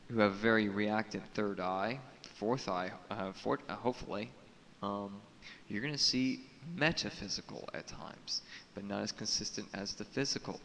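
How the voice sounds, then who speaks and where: A man giving an unscripted spiritual monologue to camera as a video blogger, speaking haltingly and solemnly in cryptic phrases, repeating words for emphasis. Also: fairly low pitch, fairly bright tone. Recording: echoey reverberant room with audible hiss.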